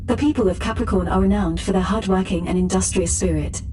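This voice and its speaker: A woman's voice: medium pitch, a little hiss or hum, natural voice. A woman voicing an impassive spaceship computer assistant that recites information formally in a sci-fi audio drama. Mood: impassive, informative. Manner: formal, impersonal, measured recitation. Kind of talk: scripted sci-fi status report.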